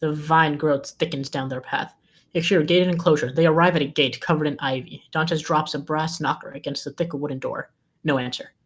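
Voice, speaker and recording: Screenplay narrator with medium pitch. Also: fairly even intonation, brisk, a bit bright, slightly rough. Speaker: stage-directions narrator. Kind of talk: scripted screenplay narration at table read.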